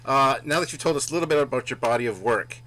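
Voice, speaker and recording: A man's voice: medium pitch, quick pace, a bit bright, low-quality recording. A man hosting an interview in a conversational way, addressing guest and viewers. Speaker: interviewer, show host.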